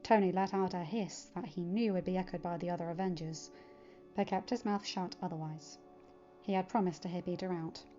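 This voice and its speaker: A woman narrating a fan-fiction story as an expressive audiobook-style reading. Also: on the low side, a little fast, dull audio. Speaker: podfic narrator. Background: background music.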